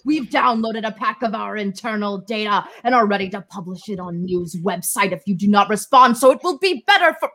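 Menacing cyber extortionist, played by a woman: theatrical, drawn-out threats, pitch leaping for drama. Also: lively intonation, a bit bright. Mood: threatening. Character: menacing, theatrical ransomware extortionist reading a ransom note.

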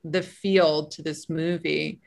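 A woman's voice: low-pitched, flat delivery, takes it slowly, creaky, a little mellow. A woman screenwriter with a big voice, chatting casually as a podcast guest, self-deprecating and full of restarts.

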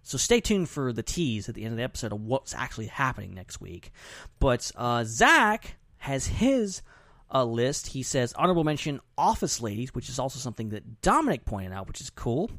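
A man's voice: mid-range voice, big pitch swings, moderate pace, fairly bright tone, no echo, clean studio sound. A man hosting a podcast in casual, informal chat, repeating short phrases for emphasis. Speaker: podcast host.

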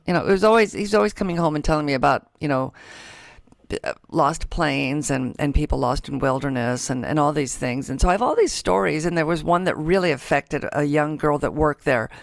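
A woman's voice: low-pitched, brisk, creaky, no echo, clean studio sound. A middle-aged woman hosting in casual chat, animatedly acting out the quotes she retells. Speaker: book show host.

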